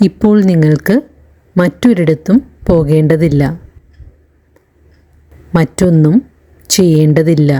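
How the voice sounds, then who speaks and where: A woman leading a guided meditation in a calm, soothing narration, leaving long pauses between phrases. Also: low-pitched. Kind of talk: slow guided relaxation narration.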